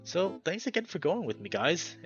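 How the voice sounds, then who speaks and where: A gentle, self-deprecating male creature with big ears talks in a friendly way , as a man reading a visual novel puts him on. His pitch moves around a lot. The audio is dull and lo-fi, with loud plucked guitar music behind. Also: big pitch swings, normal speed.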